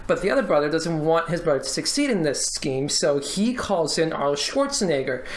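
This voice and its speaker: A man's voice: on the high side, sing-song, fairly quick. A man talking casually among friends on a podcast. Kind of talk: casual, halting movie pitch among friends. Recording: echoey room.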